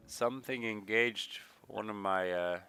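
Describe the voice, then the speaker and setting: A man giving casual, rambling let's play commentary, thinking aloud at an unhurried pace, slightly nasal with a mild sniffle. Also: lowish voice, pushed and bright, a little hiss or hum. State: mild sniffle from a recent cold. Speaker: gaming video creator, player.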